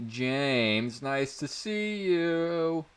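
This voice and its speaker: A man voicing a short, scrawny, excitable teenage boy with a dorky, eager manner in a story reading. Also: mid-range voice, very slow, clear, resonant tone, pitched above the performer's own voice. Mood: excited. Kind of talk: dramatic audiobook reading.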